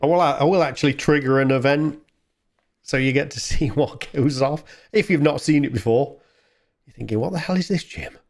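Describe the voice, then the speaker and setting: A man's voice: mid-range voice, moderate pace. A man hosting a gaming video, chatting casually and thinking aloud as he plays. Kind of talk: casual game play commentary. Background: game music.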